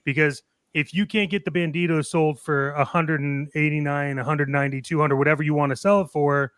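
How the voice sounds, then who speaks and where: A man's voice: fairly high pitch, fairly even intonation, brisk, a bit bright, dry recording, clean studio sound. A man talking casually on a panel, making his points by acting out little bits of dialogue. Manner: illustrates points with acted-out dialogue. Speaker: podcast panelist.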